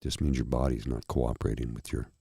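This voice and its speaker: A tired man with a husky, slightly froggy throat, hosting his own show and talking earnestly and slowly to his viewers. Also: extremely low pitch, fairly even intonation, mellow, no echo, clean studio sound. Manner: slow, earnest, heartfelt. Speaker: host. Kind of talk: candid personal talk to viewers.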